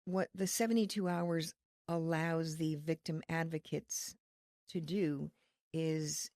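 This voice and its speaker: A woman from Connecticut, a ministry leader, explaining her work in an earnest, faith-filled, measured way as a podcast guest. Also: low-pitched, fairly even intonation, darkish tone, no echo, clean studio sound. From Connecticut, USA. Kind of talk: podcast interview.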